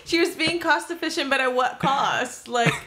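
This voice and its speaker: Young woman podcast cohost, kinda high voice, slangy teasing chat, swears a lot. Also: normal speed, a loud crowd. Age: 20s. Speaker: podcast co-host. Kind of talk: casual chat. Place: small indoor room. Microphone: podcast microphone on boom arm.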